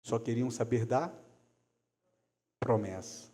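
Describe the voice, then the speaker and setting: A man preaching a sermon, swinging between loud calls and quiet asides. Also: medium pitch, takes it slowly. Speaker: pastor. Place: church hall. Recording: long church hall reverb.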